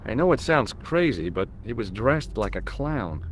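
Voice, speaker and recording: A man's voice: medium pitch, very animated, moderate pace, slight background noise, close to an everyday voice. A man voicing a wry, easygoing young American tourist in a video game, conversational and a little sardonic. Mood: chatty, eager. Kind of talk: video game dialogue and narration.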